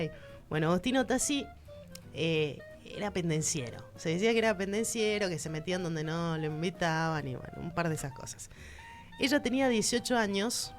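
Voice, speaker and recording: A woman with a Rioplatense accent hosting a casual art-history segment, chatty and emphatic, speaking straight to the listener. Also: fairly low pitch. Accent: Rioplatense Spanish. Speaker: culture segment host.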